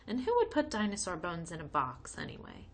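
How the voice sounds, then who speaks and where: A woman's voice: fairly low pitch, wildly swooping pitch, fairly quick, slight background noise. A woman reading a children's storybook, voicing a cautious young boy with an emphatic, doubting way of talking. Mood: doubtful. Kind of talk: read-aloud children's storybook.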